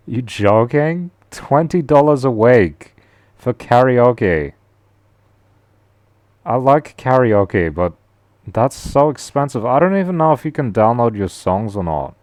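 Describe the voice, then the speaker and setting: A man with a deep voice, rambling casually and slangily to his stream audience. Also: animated, takes it slowly, a little mellow, no room sound. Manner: slangy, rambling, says "bro" a lot. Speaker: live streamer.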